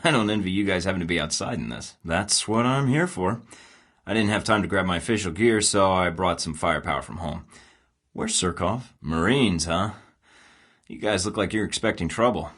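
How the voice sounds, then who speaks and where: A cocky deep-voiced American spy. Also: male voice, expressive, moderate pace, a bit bright. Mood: casual. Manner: wry, cocky, dry quips. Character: confident young male American secret agent. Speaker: video game protagonist. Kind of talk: game voice acting.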